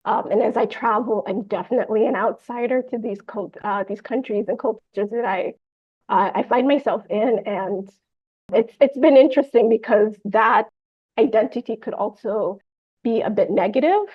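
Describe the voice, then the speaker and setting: A woman's voice: fairly high pitch, moderate pace, husky, dull audio. A woman guest on a podcast, speaking earnestly and searching for words with frequent fillers. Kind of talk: conversational interview chat.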